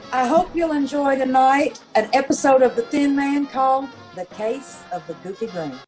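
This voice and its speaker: Female troupe presenter, very high pitch, informal audience welcome. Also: normal speed, over loud music, rough recording.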